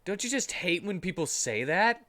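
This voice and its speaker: A man streaming a comic dramatic reading with casual commentary, sounding amused and animated. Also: sits high, moderate pace.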